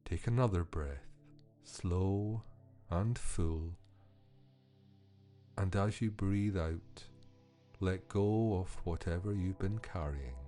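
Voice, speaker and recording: Slow, low-voiced man guiding meditation. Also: warm tone, faint music behind. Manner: soothing, unhurried, measured pauses. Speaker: podcast host and meditation guide. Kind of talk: guided meditation, scripted narration.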